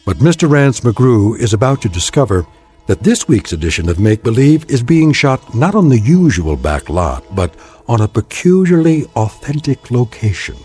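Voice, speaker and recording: A man with an American accent narrating a scripted radio drama as a wry, measured, ominous storyteller. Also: medium pitch, very animated, mellow, breathy edge, soft background music.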